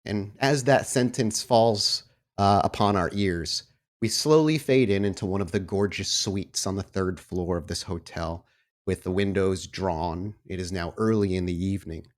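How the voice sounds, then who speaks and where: A man speaking as a podcast host and game storyteller, chatty and informal. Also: fairly low pitch. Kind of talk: podcast announcements and game narration.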